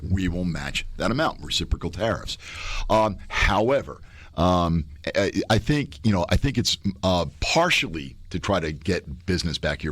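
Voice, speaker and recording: A man's voice: low-pitched, fairly bright tone, no echo. A middle-aged man, a professor guest on a radio talk show, explaining at length with fillers and restarts.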